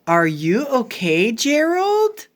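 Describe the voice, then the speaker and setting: A woman voicing a caring pig from a children's picture book, gentle and questioning. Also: a little high, huge pitch swings, takes it slowly, slight background noise, heavily acted. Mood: concerned.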